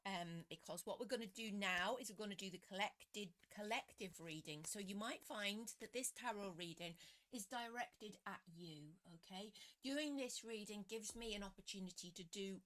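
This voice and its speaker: A woman with a slightly croaky voice, reading tarot cards for a live audience in a chatty, self-deprecating way. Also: mid-range voice, normal speed, a bit bright. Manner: chatty, self-deprecating, greets viewers by name. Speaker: tarot reader hosting a live stream. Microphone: computer webcam setup.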